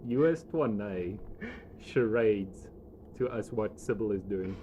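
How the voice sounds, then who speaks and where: A South African man narrating a tabletop game as game master, in a halting, conversational way. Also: lowish voice, warm tone. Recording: noisy room recording.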